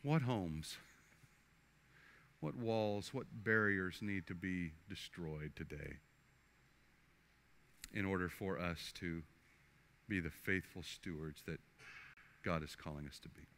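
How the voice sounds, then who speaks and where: An older man, a pastor, preaching and then praying at the altar in a church sanctuary, earnest and halting, often restarting his words. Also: low voice. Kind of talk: sermon and altar prayer. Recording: faint guitar music underneath. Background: soft guitar music.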